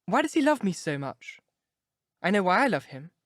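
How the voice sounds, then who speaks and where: A man's voice: high-pitched, sing-song, normal speed, fairly bright tone, no echo, voice pushed up. Guy putting on a breathless lovestruck girl, voice lifted way up, dry sound. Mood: adoring. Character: young woman, dreamy and lovestruck.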